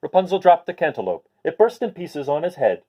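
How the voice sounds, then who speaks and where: A man reading a rhyming picture book aloud to young listeners in an expressive way. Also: a little high, fairly quick, muffled recording. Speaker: children's story reader.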